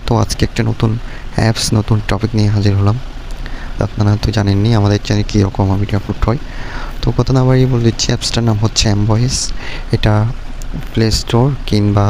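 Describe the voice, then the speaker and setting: A Bangladeshi man giving a casual app tutorial, explaining step by step in a repetitive, halting way. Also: fairly low pitch. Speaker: tech tutorial YouTuber. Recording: noisy, steady vehicle-like background hum. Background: clear background noise.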